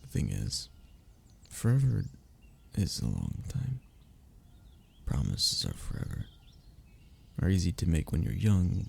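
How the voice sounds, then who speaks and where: A man's voice: very deep voice, vocal fry, warm tone, a little hiss or hum, exaggerated voice. A man playing a sentimental, teasing young man in an ASMR roleplay, talking intimately and conversationally to a childhood best friend. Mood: earnest, tender. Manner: intimate, conversational, with sighs and chuckles. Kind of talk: ASMR roleplay audio drama.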